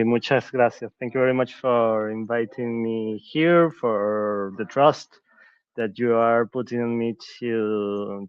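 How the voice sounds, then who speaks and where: A man's voice: mid-range voice, clear, resonant tone, no echo. Man talking earnestly, dry dull sound. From Venezuela. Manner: earnest, searching for words, frequent restarts. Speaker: podcast guest. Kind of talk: reflective conversational talk.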